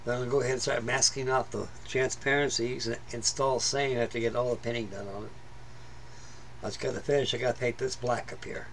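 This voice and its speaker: A man's voice: medium pitch, fairly even intonation, fast talker, gravelly, a bit bright, a little hiss or hum. A middle-aged man giving casual, rambling commentary on a model build at his workbench, full of fillers and restarts. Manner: plain-spoken, rambling, many fillers and restarts. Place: workbench in a home hobby room.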